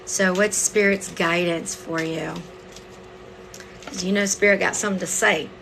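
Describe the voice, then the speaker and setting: Woman tarot livestream host, lowish pitch, a touch hoarse, crisp bright edge, conversational with frequent check-ins. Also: fairly even intonation, measured pace, slight background noise, poor audio. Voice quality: slightly hoarse. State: voice partly lost, hoarse. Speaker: tarot reader hosting a livestream. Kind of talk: casual live tarot reading, chatting with viewers.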